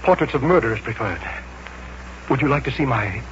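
A man's voice: mid-range voice, animated, fairly quick, lightly acted. A man playing a doomed, fearful, bitter artist in a 1940s radio drama, with an agitated, fatalistic delivery. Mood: bitter, resigned. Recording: muffled, noisy 1940s radio recording with hum.

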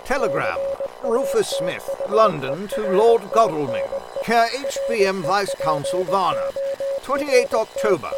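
High, static-buried telegram announcer. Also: male voice, huge pitch swings, measured pace, heavily acted. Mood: terse, official. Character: telegraph operator reading out a terse telegram. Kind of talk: radio drama telegram reading. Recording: noisy radio-style transmission with heavy static.

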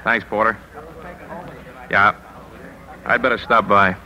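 An actor playing a middle-aged, hard-driving, cynical newspaper editor in a 1950s radio drama, with a punchy, world-weary delivery. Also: male voice, on the low side, steady tone, takes it slowly, heavy background noise. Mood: bitter.

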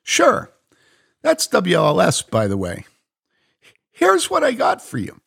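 A woman's voice: lowish voice, wildly swooping pitch, slow pace, gravelly, a bit bright, slightly rough, voice pushed up, heavily acted. A man voicing a cheerful, outspoken young woman as he reads a story aloud. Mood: delighted. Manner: bubbly and outspoken.

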